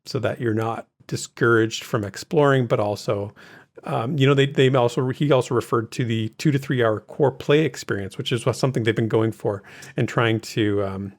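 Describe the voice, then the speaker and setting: A man's voice: medium pitch, normal speed. Man co-hosting a casual gaming podcast. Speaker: co-host of a gaming news recap show. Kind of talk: casual podcast discussion of game news.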